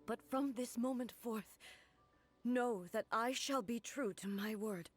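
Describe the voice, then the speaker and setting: A woman voicing a regal, solemn female ruler in a video game, speaking in a soft, measured, grave way. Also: a little high, faint music behind. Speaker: game character.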